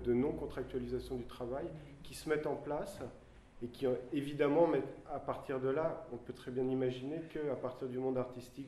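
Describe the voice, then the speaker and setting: A man's voice: mid-range voice, mellow, slight background noise. A man from France speaking in a public discussion, hesitant and searching for his words. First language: French. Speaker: panelist. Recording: roomy hall sound.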